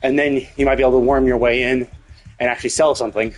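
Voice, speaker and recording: A man's voice: medium pitch, flat delivery, fast, rough recording. A man giving casual, opinionated live-stream commentary on a game, rambling and often tagging on "right?". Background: game music.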